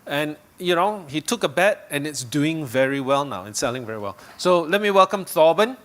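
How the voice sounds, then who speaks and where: Man, event host, slightly high voice, halting introduction. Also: expressive, a bit bright. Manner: hesitant, many fillers. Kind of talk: introducing a speaker.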